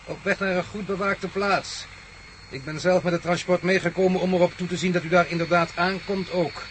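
Man as mocking villain captor, commanding, higher-pitched, radio drama, loud vehicle noise. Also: steady tone, fairly quick, lightly acted. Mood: smug, mocking. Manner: mock-polite, taunting, barking orders. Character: smug, mocking, commanding villain guarding his captive men. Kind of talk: Dutch radio play acting.

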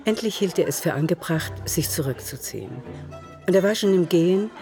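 A woman's voice: fairly low pitch, animated, fairly quick, music in the background. A woman narrating a literary radio drama as a storyteller.

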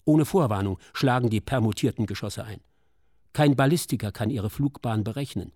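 A man narrating a scripted dramatic radio documentary in a solemn, measured, literary manner. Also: medium pitch, a little mellow, no echo, studio quality.

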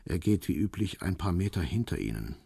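Gravelly, very deep cool operative. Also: male voice, very animated, fairly quick, mellow, no echo, clean studio sound, full character voice. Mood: cool, businesslike. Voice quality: gravelly, husky. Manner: terse, businesslike instructions. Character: cool, commanding Russian undercover operative leading an ambush. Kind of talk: radio crime drama acting.

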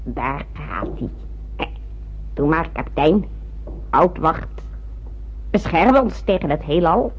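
An actress playing a wry spaceship crew member in a radio drama, speaking deliberately with a sardonic edge. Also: female voice, low-pitched, big pitch swings, exaggerated voice. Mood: mocking. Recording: muffled, faintly noisy old radio recording.

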